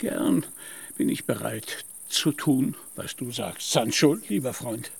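A man's voice: mid-range voice, animated, unhurried, vocal fry, breathy edge, exaggerated voice. A man playing a deluded, lofty old knight-errant in a radio play, declaiming pompously in archaic phrases. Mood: lofty, patient.

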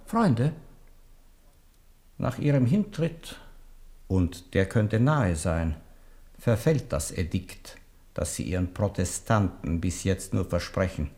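An older man in a radio drama playing a bowed old papal legate, formal and deliberate, humble on the surface but coldly warning. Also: sits low, darkish tone. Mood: quietly menacing. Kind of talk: 1970s German radio drama acting.

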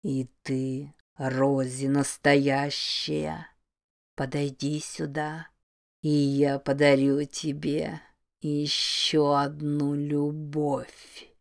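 A haunted temple that speaks in a slow, low, mocking whisper, drawing out each word with grim amusement, as a woman reading an audiobook puts it on. Its tone is hollow and strange, set well below an ordinary speaking voice. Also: level tone, creaky, heavily acted. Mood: gloating whisper. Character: sinister whispering voice of an ancient temple.

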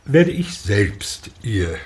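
An actor playing a middle-aged husband and father in a period radio drama, dry, sardonic and measured, with a husky voice. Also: on the low side, big pitch swings, a little mellow, full character voice. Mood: deadpan sly.

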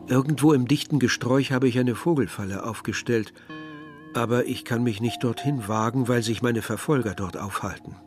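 An actor playing a young villager who tells his story in a measured, literary way, as narration in a radio drama. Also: medium pitch, natural voice. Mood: uneasy. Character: young Algerian villager narrating a grim search for remains. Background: music.

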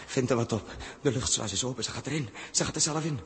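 A man playing an anguished, weary but commanding spaceship captain in a 1960s Dutch radio drama, speaking Netherlands Dutch with halting intensity. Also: medium pitch, lightly acted. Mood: heavy, resigned.

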